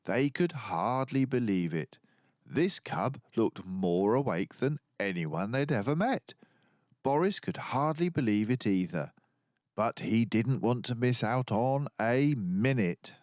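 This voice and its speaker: A man reads a children's story in an animated, theatrical way. His delivery is unhurried, with a pitch that moves around a great deal. The sound is dry, with very little room. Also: no room sound. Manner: animated, acts out dialogue. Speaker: children's book reader. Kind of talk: expressive storybook read-aloud.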